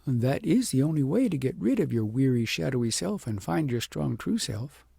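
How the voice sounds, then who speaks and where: A man voicing a gentle, patient wise woman from a fairy tale, speaking in slow, tender, earnest counsel with a husky voice. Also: mid-range voice, very animated, no echo, studio quality, heavily acted.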